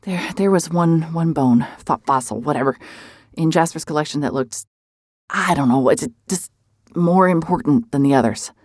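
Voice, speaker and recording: An actress playing a hard-hearted private investigator who stammers and restarts when anxious, also narrating in first person. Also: female voice, low-pitched, moderate pace, a little mellow. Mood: hesitant. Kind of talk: audio drama acting and first-person narration.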